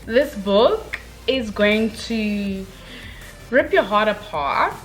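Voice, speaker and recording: A young woman talks casually to her viewers as a book reviewer. Her mid-pitched voice is expressive and informal, gushing with dramatic emphasis and slangy turns of phrase. Soft music plays faintly behind her. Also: very slow, low-quality recording.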